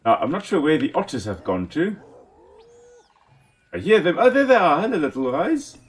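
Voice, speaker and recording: A man's voice: fairly high pitch, very animated, moderate pace. A man from South Africa with a South African accent and Afrikaans as his first language, giving casual, enthusiastic gameplay commentary as a let's play host. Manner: enthusiastic, chatty, talks to the animals on screen.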